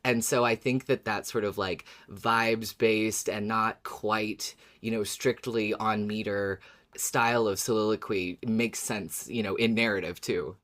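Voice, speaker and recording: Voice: medium pitch, unhurried. A podcast host chatting casually about a story, with lots of "like" and "you know". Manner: casual, relaxed, filler-heavy.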